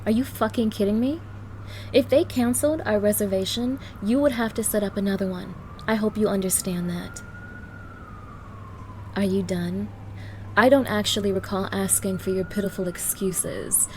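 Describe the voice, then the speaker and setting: A woman's voice: mid-range voice, normal speed, slight background noise, close to an everyday voice. A woman playing a haughty, demanding young gold digger on a date, scolding and condescending in an audio roleplay. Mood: annoyed, scolding. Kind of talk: ASMR-style dramatic roleplay.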